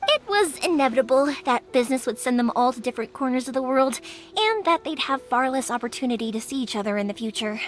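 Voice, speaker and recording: A woman's voice: high voice, animated, fast talker. An actress playing a cheerful, bold young woman in a video game, breezy and chatty in delivery. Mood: storytelling. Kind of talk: English video game voice acting. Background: background music.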